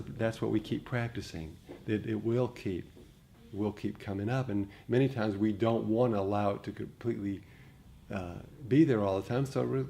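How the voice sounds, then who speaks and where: A man with a fairly low, mellow voice teaches meditation informally to a group. He is reflective and halting, circling back to rephrase his points. There is some noise in the recording. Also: gravelly. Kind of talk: informal dharma talk to a group. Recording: noticeable background noise.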